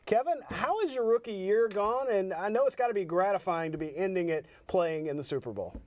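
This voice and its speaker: A man hosting a sports show, calling highlights and putting questions to guests in broadcast style. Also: lively intonation, a little fast, a little mellow, no echo. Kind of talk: upbeat sports highlight commentary and interview.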